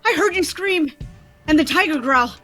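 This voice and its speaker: Detective hero, 1940s radio serial played by a woman: urgent, high, sharp, animated. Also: normal speed, faint music behind. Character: heroic, urgent male police detective Dick Tracy.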